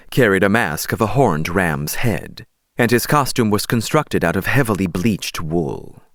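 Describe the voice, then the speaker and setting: Male storyteller, low register, dry sound, dramatic scripted audiobook narration. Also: no room sound.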